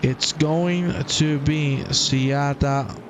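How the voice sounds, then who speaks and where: A man giving live commentary on an online car race, enthusiastic and joking, rising loud and high as the winner crosses the line. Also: fairly even intonation, extremely slow, noisy background. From half Croatian. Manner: enthusiastic, jokey, swells loud and high at the finish. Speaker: sim racing commentator.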